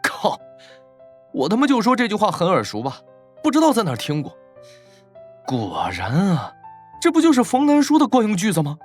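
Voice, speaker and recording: Man acting animated, teasing young student boss. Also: sits high, moderate pace, pauses often, soft background music, heavily acted. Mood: exasperated. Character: young male university student boss, teasing, worldly, exasperated. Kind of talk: audio drama dialogue.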